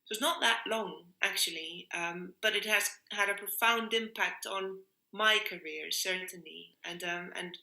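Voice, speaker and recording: Bright-voiced woman in a roomy space. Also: mid-range voice, measured pace, gravelly, hardly any pauses. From Iceland. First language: Icelandic. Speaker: interviewed music workshop leader. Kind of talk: reflective conversational interview. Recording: roomy, echoing sound.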